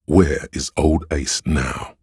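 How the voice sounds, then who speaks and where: A man voicing a plain-spoken, curious police officer in a video game, gravelly and inquisitive. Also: rumbling low, steady tone, takes it slowly, a little mellow, no echo, studio quality, heavily acted. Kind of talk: dramatic game dialogue.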